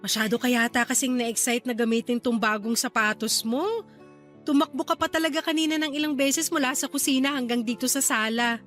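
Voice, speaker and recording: An actress playing a caring, playful aunt raising her niece, in an affectionate, expressive Tagalog radio drama style. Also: on the high side, fairly quick, faint music behind, lightly acted. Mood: teasing.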